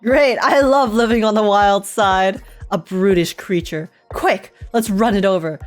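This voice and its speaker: A woman's voice: mid-range voice, unhurried. A woman live-streaming a game with casual, playful, slangy reactions to what happens. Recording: faint game music behind.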